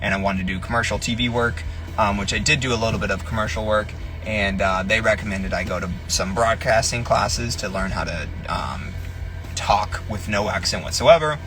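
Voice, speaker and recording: A man's voice: lowish voice, moderate pace, over music. A young man from Tennessee with no accent left, a personal trainer chatting casually on a live stream from his parked car, rambling with lots of ums and restarts. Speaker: fitness influencer, personal trainer.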